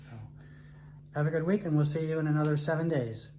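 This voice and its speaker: A man's voice: fairly high pitch, fairly quick, warm tone, slight background noise. An older American man and co-host, agreeing briefly and then wrapping up the discussion. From United States. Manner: agreeable, short backchannels, wraps up the show. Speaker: retired academic, co-host. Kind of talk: casual discussion and sign-off.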